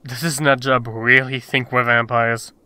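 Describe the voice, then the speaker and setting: A man's voice: mid-range voice, level tone, a little slow, sharp tone, smooth, dry recording. A man voicing a cocky, impatient, wisecracking rogue in a comic dub, with a brash, slangy way of talking. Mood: cocky, scheming.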